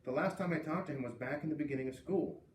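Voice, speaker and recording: An earnest, bookish schoolgirl voiced by a man confides gently in a classmate, in a storybook read-aloud. The voice comes from a little way off in a roomy space, with faint noise. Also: fairly high pitch, quick pace, natural voice. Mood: hurt, candid. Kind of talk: children's novel read-aloud. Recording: roomy, distant mic, faint background noise.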